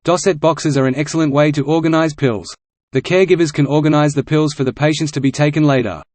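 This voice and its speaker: A man's voice: fairly high pitch, fairly even intonation, fast talker, darkish tone, no echo. A man reading a short practice passage aloud as scripted narration. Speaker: reader of English test practice passages.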